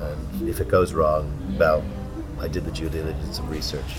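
A man with an Irish accent hosting a casual pub chat, chatty and full of animated anecdotes. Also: a bass voice, normal speed, music in the background. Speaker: podcast host.

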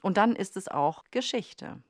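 A woman's voice: medium pitch, animated, measured pace, dry recording, studio quality. A woman host reading scripted documentary narration for a podcast. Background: faint music.

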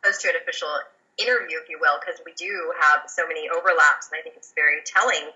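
A woman's voice: deep voice, fairly even intonation, fast talker, bright, forceful tone, muffled recording. A German-born woman whose first language is German, reflectively telling her story in a casual podcast conversation with frequent fillers.

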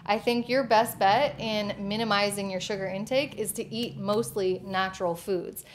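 A young woman co-hosting a casual fitness question-and-answer chat, talkative and quick to laugh. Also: medium pitch, steady tone, a little hiss or hum. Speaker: co-host answering viewer questions.

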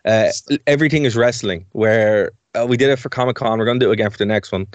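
Young irish guy co-hosting a radio chat, lowish voice, rambles and laughs a lot, dry close sound. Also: no echo, highs cut off. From Ireland. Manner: chatty, rambling, quick to laugh. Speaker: radio show co-host.

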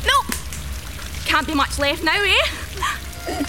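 A woman's voice: extremely high pitch, wildly swooping pitch, very slow, over music, exaggerated voice. An actress playing a cruel, gleefully taunting young woman turned killer in a horror radio play. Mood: gleeful menace. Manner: mocking, menacing sing-song taunts.

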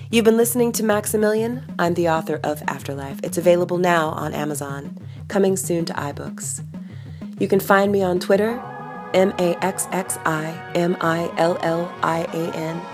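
A woman narrates fiction in a low-pitched voice. She is the author, reading her own book aloud in audiobook style. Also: normal speed, over music.

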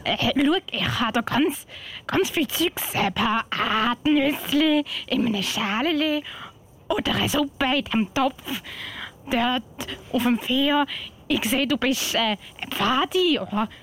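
A woman playing a friendly, confused old lady in an amateur radio play, speaking loudly and haltingly with a Swiss German accent. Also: high voice, heavily acted. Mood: eager, friendly. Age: older. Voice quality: loud. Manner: muddled, repetitive, halting. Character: muddled, friendly, loud old woman offering food.

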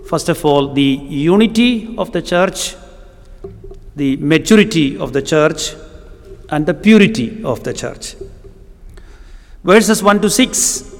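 A middle-aged preacher from Kerala with a Malayali Indian accent speaks in a slightly high voice, taking his time. He pauses often with ums and uhs as he delivers a sermon. The sound rings out in a reverberant church hall. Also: animated. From Kerala, southern India. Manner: halting, frequent ums and uhs, deliberate. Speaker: guest preacher.

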